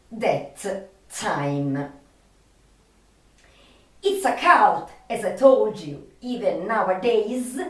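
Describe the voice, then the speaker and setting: A woman who is not a native English speaker presents enthusiastic film commentary in a halting, emphatic way with long pauses. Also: a little high. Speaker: host of a film trivia video. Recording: echoey room, distant microphone.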